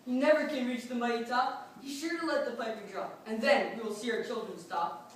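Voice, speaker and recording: A woman's voice: a little high, fairly quick, natural voice. A woman playing a pompous, stingy male town mayor, blustering through verse in readers theatre. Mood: scornful. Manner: blustering, emphatic. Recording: distant, very echoey room, faint noise.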